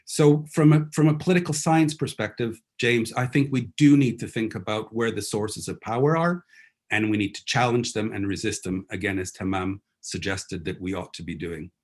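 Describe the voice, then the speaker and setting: A man speaking as a panelist, making his points deliberately. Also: fairly low pitch, a little mellow. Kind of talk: panel discussion.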